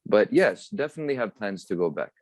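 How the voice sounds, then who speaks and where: A young man with a low, deep voice and an American accent chats easily over a video call, dropping bits of Tagalog into his English. The sound is dry and close, with little room echo. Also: a little fast. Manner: relaxed, mixes Tagalog phrases into English. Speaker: guest performer and entrepreneur. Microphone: video call webcam.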